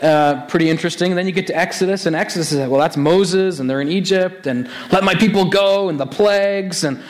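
Male pastor, raspy and hoarse, fairly high voice, chatty sermon delivery full of restarts. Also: a little fast. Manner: conversational, animated with many restarts.

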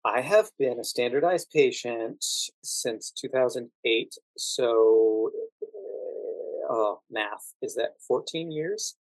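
A man's voice: mid-range voice, animated, slow pace, dry recording, low-quality recording. A middle-aged man from the United States speaking as a podcast guest, explaining and telling anecdotes conversationally. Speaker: podcast guest, actor and standardized patient.

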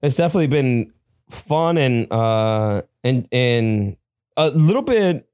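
A man whose first language is Spanish, talking in loose, rambling fashion on a casual podcast. He circles back with fillers and restarts, at an ordinary pitch, recorded dry and close. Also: animated, takes it slowly, a little mellow, clear, resonant tone, no echo. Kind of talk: casual chat.

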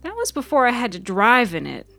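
A woman voicing a lively young female student who grumbles and teases, in a wry, expressive way for an audio story. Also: fairly high pitch, brisk, creaky, no room sound, faint music behind, voice pushed up, full character voice. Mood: excited, wry.

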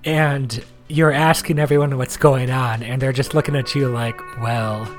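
A man's voice: medium pitch, fairly even intonation, a little slow. A man co-hosting a podcast, chatting casually about a video game. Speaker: podcast co-host. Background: faint background music.

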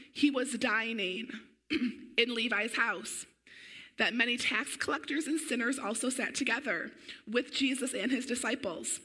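Lady pastor preaching, bit of a weak voice, keeps clearing her throat, bright and high. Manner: energetic, conversational preaching. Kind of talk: sermon.

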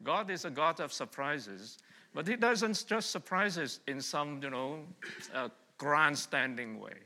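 A man's voice: a little high, expressive, measured pace, pushed and bright. A middle-aged man from Vietnam preaching a sermon to a congregation, talking in a conversational way and putting questions to the listeners. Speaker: pastor. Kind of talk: sermon with congregation call-and-response. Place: church hall. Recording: long reverb of a church hall.

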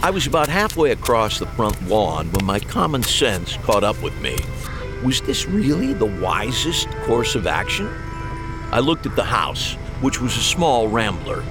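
A man's voice: mid-range voice, big pitch swings, unhurried, music in the background. A man reading scripted first-person mystery narration with wry, dry humor.

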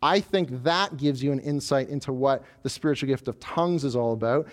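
A man preaching a sermon as pastor, animated and lively, acting out the proud voices of people in the story he tells. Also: medium pitch, fairly quick. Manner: animated preaching, voicing the proud tower builders.

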